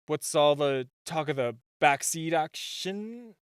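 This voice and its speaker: A man's voice: fairly high pitch, expressive, clear, resonant tone, no echo, clean studio sound. A man playing a super-shy spy gadget guy in a romantic comedy audio roleplay, nervous and stammering, easily flustered. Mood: nervous, puzzled. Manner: nervous, stammering, halting, self-interrupting.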